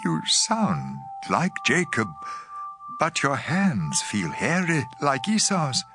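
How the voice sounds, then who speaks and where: An actor playing a frail old father who speaks solemnly and haltingly in a dramatized scripture story. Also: mid-range voice, wildly swooping pitch, over music, exaggerated voice. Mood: wary, tender. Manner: slow, weighty, halting delivery. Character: frail old blind father, solemn patriarch giving blessings. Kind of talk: dramatized scripture reading with music.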